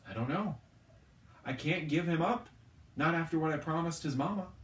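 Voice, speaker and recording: A man's voice: a little high, moderate pace, voice pushed up, lightly acted. A man voicing a motherly, stubborn, plain-spoken ghost woman in a storybook reading. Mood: resolute. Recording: roomy, echoing, distant from the mic.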